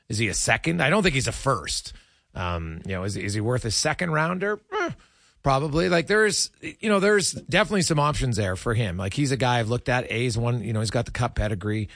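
A man hosts a casual hockey talk show. His mid-pitched voice sits in a dry, echo-free space. He rambles and halts as he reasons things through aloud. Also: fairly bright tone. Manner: halting, rambling, thinking aloud. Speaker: sports radio host.

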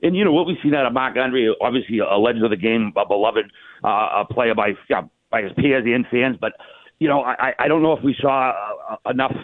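Male guest analyst, casual sports chat, rapid colloquial delivery, narrow dry phone sound. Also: medium pitch, no room sound. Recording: tinny phone-like line.

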